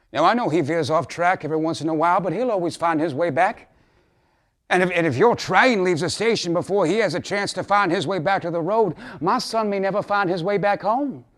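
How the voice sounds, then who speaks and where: A man acting a troubled, folksy father who pleads earnestly in a theatrical dramatic monologue, halting at times and impassioned at others. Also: fairly high pitch, natural voice. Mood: desperate, pleading.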